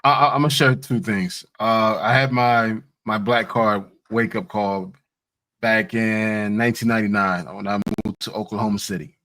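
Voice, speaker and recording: A man's voice: fairly low pitch, normal speed. A man from Haiti, co-hosting a casual podcast chat, who tells stories with energy and stammers as he cuts in. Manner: animated storyteller, repeats words when cutting in. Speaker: podcast co-host.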